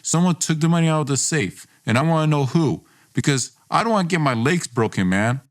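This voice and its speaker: A man voicing a reckless, defensive young male roommate, insistent and excitable, in a dramatized story. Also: on the high side, brisk, natural voice. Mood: panicked accusing.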